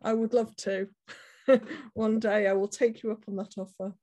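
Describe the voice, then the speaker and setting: A woman's voice: a little high, fairly even intonation, moderate pace, warm tone. A woman hosting an interview, encouraging her guest with frequent short responses and laughter. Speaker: podcast host.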